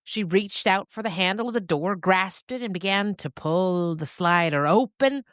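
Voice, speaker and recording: A woman narrating a scripted story expressively, with dramatic pauses. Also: mid-range voice, animated, dry recording, clean studio sound.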